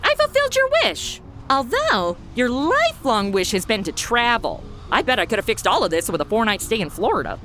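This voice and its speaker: A woman's voice: on the high side, very animated, fairly quick, a bit bright, slight background noise. A woman playing a brash, flippant young angel trainee with sassy modern slang in an audio comedy. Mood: flippant, wry. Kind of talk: comedy audio drama acting.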